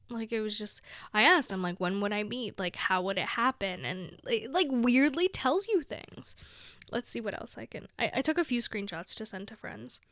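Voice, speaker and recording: A young woman in her twenties talks loosely and warmly to her viewers, her voice sitting slightly high. She giggles between thoughts and fills her sentences with "like" and "you know." The recording is dry and close, with no room sound. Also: no room sound. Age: 20s. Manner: chatty, giggly, many "like"s. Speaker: vlogger. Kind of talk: casual chat to camera.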